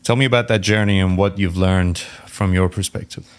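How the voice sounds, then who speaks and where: A man hosting a podcast, asking relaxed interview questions and prompting his guest with short acknowledgements. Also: deep voice, a little fast, clean tone. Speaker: podcast host.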